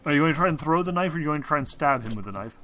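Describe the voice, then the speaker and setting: A man's voice: a little high, lively intonation, rapid-fire, music in the background. A man acting as game master in a casual tabletop role-playing session, with a blunt, wry, profane way of talking.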